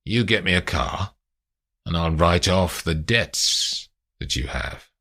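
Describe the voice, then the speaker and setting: Man playing a cool crime boss, low voice, unhurried, measured threats. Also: lively intonation, pushed and bright, husky, exaggerated voice. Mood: calm menace. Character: hunted male crime boss, calm and menacing.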